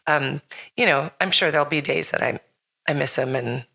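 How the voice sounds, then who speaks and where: A middle-aged woman hosting a chatty podcast, her voice low and gravelly with a lingering rasp from illness. Her tone is bright and forward, and she talks in a loose, rambling way full of fillers and restarts. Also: moderate pace. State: slightly raspy from recent illness. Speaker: podcast host. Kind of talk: casual chat.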